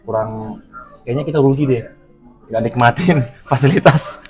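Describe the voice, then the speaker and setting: Relaxed man hosting a film chat. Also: medium pitch, normal speed, soft background music. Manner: relaxed, filler-heavy, asks agreeing questions. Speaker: host. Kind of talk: casual film review chat.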